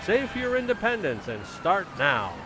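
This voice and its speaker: A man's voice: sits high, huge pitch swings, normal speed, noisy background. A man playing an eager, self-reproaching family man in a vintage-style radio comedy, with an earnest, theatrical and easily flustered delivery. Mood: speechifying. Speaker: community theater actor.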